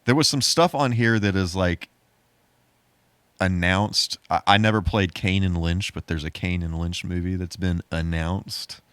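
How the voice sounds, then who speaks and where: A man's voice: low voice, unhurried, fairly bright tone, smooth, no room sound. A man co-hosting a podcast in casual, slightly hesitant and rambling conversation. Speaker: podcast co-host.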